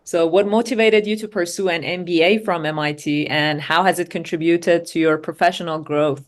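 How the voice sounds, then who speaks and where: A woman's voice: lowish voice, steady tone, moderate pace. A woman hosting an online seminar, thanking the speaker and asking formal, scripted-sounding interview questions. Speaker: interviewer, host.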